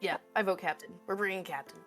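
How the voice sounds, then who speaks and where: A woman's voice: mid-range voice, fast, a bit bright, soft background music. A woman improvising in a casual tabletop role-playing game with a laconic, dryly understated manner.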